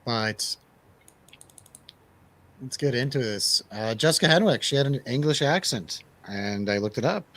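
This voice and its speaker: A man with a medium-pitched voice chats casually as a review show host. He is enthusiastic and slangy, speaking in loose conversational English. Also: lively intonation, unhurried, long pauses, fairly bright tone. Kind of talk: casual movie review chat.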